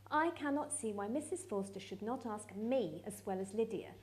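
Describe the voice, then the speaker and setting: A woman's voice: mid-range voice, lively intonation, normal speed, a little mellow, a little hiss or hum, lightly acted. A woman voicing a peevish, jealous young sister in a storybook reading, whining and complaining.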